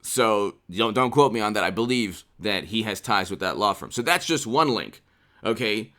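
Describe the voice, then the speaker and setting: A man's voice: on the low side, lively intonation, moderate pace, a bit bright. Emphatic American man giving political commentary. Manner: emphatic, repeating phrases for stress. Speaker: political commentary channel host. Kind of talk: unscripted political commentary to camera. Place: small indoor room with poster-covered walls. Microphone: desk condenser microphone, close.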